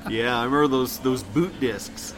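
Man, middle-aged, American accent, casual chat, podcast co-host, medium pitch, rumbling noisy recording. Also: moderate pace. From Kansas City, United States. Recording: clearly noisy, rumbling background.

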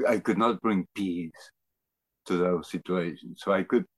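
Deep-voiced man from Argentina, halting and reflective. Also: no echo. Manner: halting, reflective, searching for words. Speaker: interview guest. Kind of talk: personal testimony in conversation.

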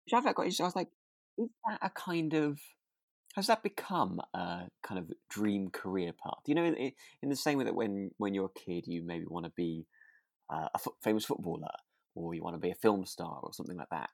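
A man's voice: deep voice, lively intonation, brisk, gravelly, no echo. A British man co-hosting a podcast, chatting casually in an informal, musing and slightly hesitant way. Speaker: podcast co-host.

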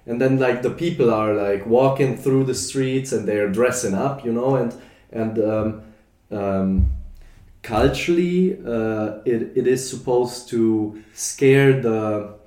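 A young man from Germany talks in a warm, mellow voice, pausing often with ums and restarting his sentences. He sounds relaxed and unhurried as he chats. The room adds a noticeable echo. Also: medium pitch. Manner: hesitant, many fillers and restarts. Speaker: podcast guest. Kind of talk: casual chat. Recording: roomy echo.

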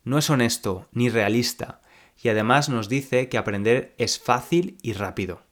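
A young man from Spain with a Spanish accent, hosting a podcast lesson for learners and speaking slowly and clearly like a teacher. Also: medium pitch. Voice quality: slow, clear teacher speech.

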